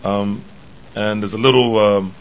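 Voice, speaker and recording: A man with a hoarse, tired voice giving a religious lecture as the imam, speaking haltingly with many pauses and restarts. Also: lowish voice, fairly even intonation, dull audio. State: tired from travel.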